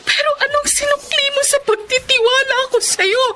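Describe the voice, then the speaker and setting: An actress playing an emotional, betrayed Filipino wife who runs a bakery, in a radio drama. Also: female voice, very high-pitched, moderate pace, bright, forceful tone. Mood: anguished, crying fury. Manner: emotional, accusing. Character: betrayed, hurt wife, thirty-something bakery owner.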